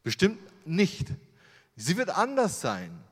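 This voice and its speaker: A man's voice: sits high, wildly swooping pitch, slow pace, slightly rough. A German man giving a sermon with slides, in a conversational way, full of rhetorical questions. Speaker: preacher. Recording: long hall reverb.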